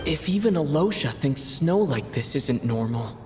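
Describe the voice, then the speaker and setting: An actor voicing a calm young male traveler hero in a game cutscene, musing quietly. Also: on the high side, normal speed, music in the background, close to an everyday voice.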